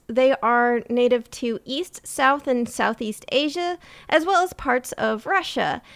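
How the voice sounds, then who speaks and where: A woman's voice: high voice, creaky, clear, resonant tone. A woman host explaining a science topic in casual, playful, jokey podcast chat.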